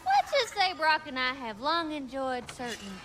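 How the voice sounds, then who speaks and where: Casting: flirty female dwarf smith for a game, high, swooping pitch, teasing twang. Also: big pitch swings, normal speed, background noise. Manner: folksy, drawling, teasing talk. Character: lively, flirty, folksy female dwarf blacksmith. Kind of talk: video game cutscene acting.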